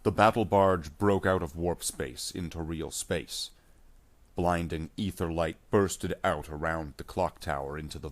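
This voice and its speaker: A man reading scripted dramatic narration of a war story in a measured storytelling delivery, without putting on character voices. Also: rumbling low.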